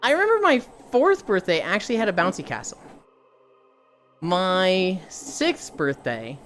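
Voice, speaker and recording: A woman's voice: fairly low pitch, big pitch swings, unhurried, soft background music. A woman streaming casually, rambling through stories, swearing and laughing easily. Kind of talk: casual chat while streaming.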